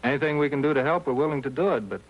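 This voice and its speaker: An actor playing an easygoing, cooperative American juvenile officer in clipped 1950s police drama style. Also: male voice, medium pitch, very fast, natural voice. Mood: casual, helpful. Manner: casual, terse, drops subjects. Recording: muffled 1950s TV soundtrack.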